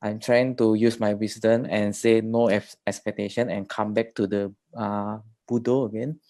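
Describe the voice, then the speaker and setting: A man from Indonesia, a meditation student asking hesitant, halting questions over a video call. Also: fairly low pitch, flat delivery, mellow.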